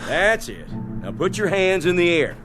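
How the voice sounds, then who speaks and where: An actor in a radio drama playing a steady small-town sheriff, unhurried and authoritative. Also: male voice, high-pitched, over music. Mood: commanding.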